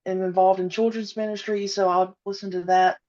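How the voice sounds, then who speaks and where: A woman guest talking casually at a middle pitch, pausing often on "uh" and "um." Her voice comes through a slightly dull, lo-fi line. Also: flat delivery, warm tone. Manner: hesitant, many "uh" and "um" fillers. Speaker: podcast guest, audio drama creator. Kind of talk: casual interview chat.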